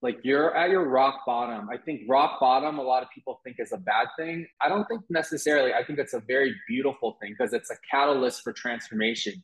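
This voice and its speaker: A man's voice: medium pitch, fairly quick, hardly any pauses. A young man who grew up partly in Taiwan, with Mandarin as his first language, speaking candidly and reflectively as an interview guest, with frequent restarts. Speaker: wellness guest. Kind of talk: interview conversation. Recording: roomy echo.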